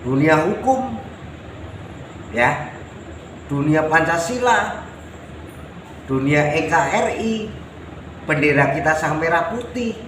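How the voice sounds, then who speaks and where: A man's voice: fairly high pitch, expressive, slow pace, long pauses, a bit bright. A man from Java with a Javanese accent delivers a patriotic monologue to viewers in an oratorical, emphatic manner, repeating his phrases. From Java, Indonesia. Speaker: self-styled folk personality addressing viewers. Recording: roomy echo with clear background noise.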